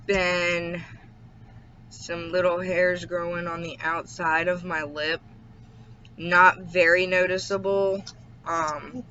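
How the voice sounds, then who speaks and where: A man chatting in a casual video diary at home, slow and hesitant with many ums, his throat a little irritated. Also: a little high, bright, forceful tone. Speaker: vlogger. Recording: dull lo-fi with faint hiss.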